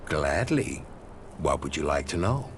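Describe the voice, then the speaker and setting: An actor playing a worldly, wary desert historian in a video game, explaining in a measured way. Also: male voice, rumbling low, sing-song, a little hiss or hum, full character voice. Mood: storytelling, intrigued. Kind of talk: expository game dialogue.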